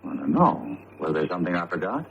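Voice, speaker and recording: An actor playing an earnest male professor and family friend in a vintage radio mystery, deliberate and theatrical in delivery. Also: deep voice, big pitch swings, vocal fry, fairly bright tone, exaggerated voice. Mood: vague, puzzled. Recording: tinny, hissy old radio broadcast.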